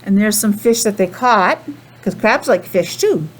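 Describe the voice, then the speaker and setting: Animated woman reading to young children. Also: medium pitch, measured pace, rough recording. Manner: animated, addressing small children with simple questions. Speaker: teacher reading a picture book to young children. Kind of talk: storybook read-aloud with chatty asides and questions.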